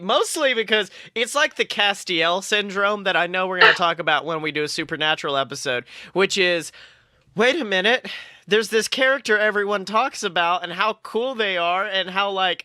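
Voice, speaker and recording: A man co-hosting a podcast, chatting casually and excitably as a fan, swearing freely. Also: sits high, moderate pace, no echo, studio quality. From southern United States. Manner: informal, profane, excitable fan talk. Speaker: podcast co-host.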